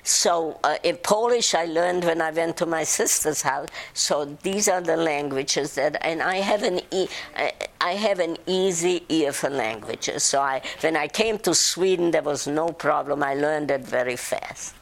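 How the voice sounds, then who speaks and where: An older woman from Czechoslovakia, speaking haltingly in an oral history interview. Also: low voice. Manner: halting, many restarts and fillers. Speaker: interviewee, survivor recounting childhood.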